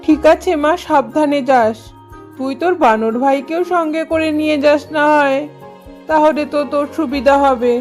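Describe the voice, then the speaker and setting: A woman's voice: high voice, normal speed, darkish tone, close to an everyday voice. A woman voicing an anxious, loving old grandmother bird in a children's cartoon, fussing with emotion. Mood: caring. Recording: music under the voice.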